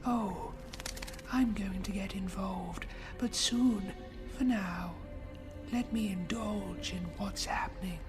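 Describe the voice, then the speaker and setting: A woman's voice: mid-range voice, music in the background, close to an everyday voice. A woman playing a gloating, sadistic villainous jailer in an audio drama, savoring her words and dropping into whispers. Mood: gloating, menacing. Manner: slow, savoring, breathy whispers at phrase ends.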